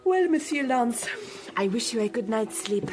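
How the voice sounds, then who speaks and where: An actress playing a gracious wife and hostess in a radio drama, polite and poised. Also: female voice, on the high side, lively intonation, moderate pace, darkish tone, faint music behind, lightly acted.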